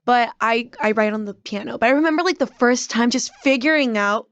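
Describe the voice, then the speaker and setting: A young woman from Mexico, Spanish her first language, speaking English as an interview guest, animated and giggly. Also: a little high, fairly quick, no room sound, highs cut off. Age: young adult. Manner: animated, giggly, acts out past reactions. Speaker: band drummer, interview guest. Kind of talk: casual interview chat.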